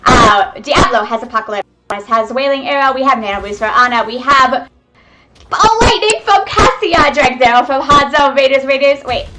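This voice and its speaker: A young woman giving excitable, chatty live commentary on a video game match, talking to her viewers from home on a webcam microphone. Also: high voice, normal speed, rough recording. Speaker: esports caster. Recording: webcam mic with faint noise.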